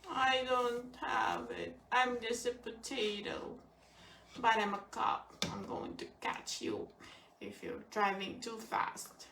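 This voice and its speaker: Woman voicing a high, swooping old potato puppet man. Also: wildly swooping pitch, sharp tone, recorded from a distance, exaggerated voice. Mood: silly, curious. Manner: exclaiming, hooting, plaintive, drawn-out words. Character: needy, silly old male potato puppet policeman. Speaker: puppeteer in a home puppet show. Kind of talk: improvised puppet play with a small child. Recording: echoey, noisy home recording from afar.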